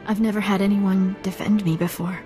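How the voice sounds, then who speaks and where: Woman as a soft, coy young damsel. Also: medium pitch, little pitch movement, fairly quick, faint music behind, natural voice. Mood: coy gratitude. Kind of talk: video game voice acting.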